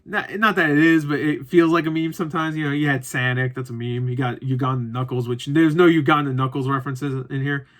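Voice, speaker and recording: A man's voice: mid-range voice, steady tone, a little fast, few pauses. A man giving a casual, unscripted review to viewers, rambling and correcting himself as he goes, with some swearing. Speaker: movie reviewer.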